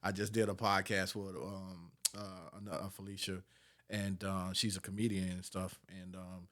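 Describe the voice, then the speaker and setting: A man's voice: sits low, level tone, normal speed, fairly bright tone. A man in his fifties hosting a casual interview, speaking colloquially and repeating words as he thinks. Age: 50s. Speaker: podcast host.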